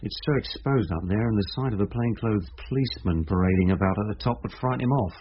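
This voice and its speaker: An actor playing a confident, probing British police inspector in a radio drama, brisk and authoritative. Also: male voice, fairly low pitch, husky. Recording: dull, band-limited radio drama recording.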